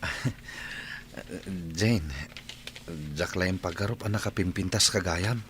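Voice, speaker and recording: A man's voice: low voice, fairly even intonation, slow pace, noisy background. A man with an Ilocano accent playing a bashful bachelor son who is courting, in a radio drama. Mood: shy courting.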